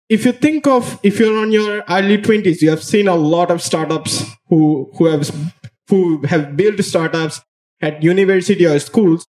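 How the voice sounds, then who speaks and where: Young Bangladeshi man, fairly high voice. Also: darkish tone, low-quality recording. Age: early twenties. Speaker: young founder asking an audience question. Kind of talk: spoken question from the audience.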